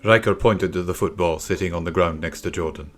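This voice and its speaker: A man's voice: sits low, brisk, a bit bright, soft background music. A man reading a scripted children's story as an audiobook narrator.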